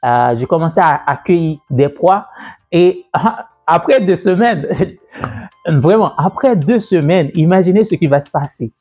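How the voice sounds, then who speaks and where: A man's voice: on the high side, lively intonation, unhurried, darkish tone, husky. Man telling his business story. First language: French. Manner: conversational, repeats himself, many fillers. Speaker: entrepreneur and business coach. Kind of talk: personal story told to camera. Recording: dull, lo-fi sound with faint music.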